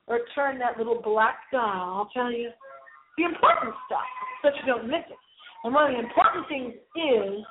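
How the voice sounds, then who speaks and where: A woman hosting a paranormal call-in internet radio show in a playful, theatrical, rambling style with drawn-out dramatic delivery. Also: a little high. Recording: lo-fi phone-like line with hiss.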